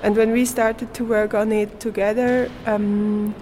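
A woman's voice: medium pitch, little pitch movement, measured pace, mellow, slight background noise. A young woman, answering interview questions in English as a performance artist, searching for words with many fillers. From Switzerland.

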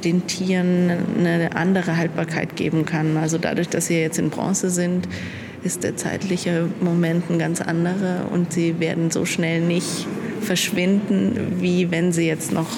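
Woman artist, lowish voice, calm explaining, echoing hall with noise. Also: measured pace. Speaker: art graduate presenting her work. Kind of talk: explaining her artwork. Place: exhibition room indoors. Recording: long reverb with audible background noise.

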